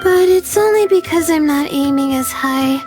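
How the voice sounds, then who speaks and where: A woman voicing a gentle, modest, earnest young girl in an anime-style video game, soft and a little hesitant. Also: sits high, moderate pace, loud music behind the voice, lightly acted. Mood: friendly, modest.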